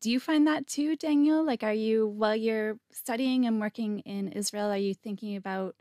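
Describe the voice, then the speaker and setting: A woman's voice: a little high, moderate pace, dark tone, no echo, studio quality. A woman hosting a podcast, asking questions casually and acknowledging answers often. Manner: friendly, frequent short acknowledgements. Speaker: podcast host. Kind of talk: casual interview.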